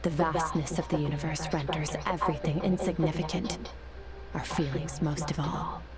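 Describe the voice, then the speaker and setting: Woman acting a cold low-voiced warrior, stern and grave. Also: steady tone, moderate pace, loud music behind the voice, close to an everyday voice. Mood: cold, resolute. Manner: detached, grave, scornful. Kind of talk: video game voice acting.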